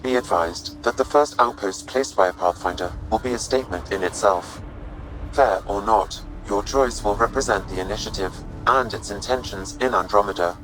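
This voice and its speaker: An actor voicing a calm, formal artificial intelligence advisor in a video game, measured and precise. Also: male voice, on the low side, faint music behind, lightly acted, rough recording. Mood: calm, advisory. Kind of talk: video game dramatic dialogue.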